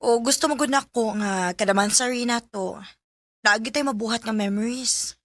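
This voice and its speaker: Caring young girlfriend for Bisaya radio drama, played by an actress: high, bright voice, dry close sound. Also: normal speed, no echo, close to an everyday voice. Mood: sweet, coaxing. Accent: Filipino, Cebuano Bisaya.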